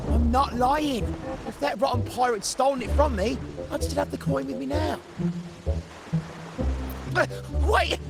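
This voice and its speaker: A grumbling small-time male thief from a fantasy game. The voice is extremely high for a man, whiny and grudging, over loud music. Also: measured pace, long pauses. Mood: defensive. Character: shifty, grumbling male thief, petty and cowardly. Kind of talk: video game voice acting.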